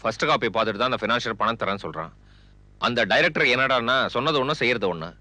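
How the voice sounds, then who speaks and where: Snappish film producer husband, TV drama: quick delivery, bright tense voice, defensive. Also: male voice, medium pitch, expressive, dry recording. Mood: frustrated. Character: irritable, stressed male film producer and husband. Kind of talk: Tamil television serial acting.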